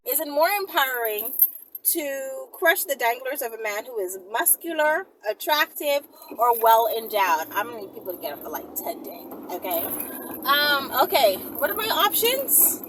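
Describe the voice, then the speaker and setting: A woman's voice: high-pitched, big pitch swings, moderate pace. A woman talking in a casual, chatty monologue, sassy and emphatic, with frequent little check-ins as she answers questions. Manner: sassy, emphatic, often adds "okay?" and "you know". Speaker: video host answering viewer questions. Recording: noisy, distant mic, vehicle-like ambience.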